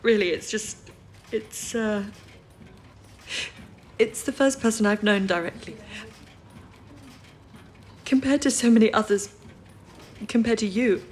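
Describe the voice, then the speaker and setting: A woman playing a sensible, educated wartime codebreaker in a period radio drama, speaking in a measured, reassuring way. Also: on the high side, slight background noise. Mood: sober, reassuring. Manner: measured, explanatory, composed.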